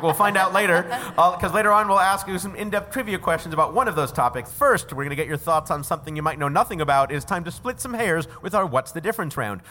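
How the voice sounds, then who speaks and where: Playful, high-voiced man hosting a live quiz. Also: bright, forceful tone, big-room sound. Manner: playful, quick teasing banter, laughs often. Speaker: game show host. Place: live stage before an audience.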